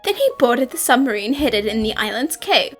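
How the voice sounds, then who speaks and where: A woman playing a confident young female detective in an animated fan dub, explaining a case with theatrical assurance. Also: fairly high pitch, a little fast, soft background music. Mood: assured, revealing.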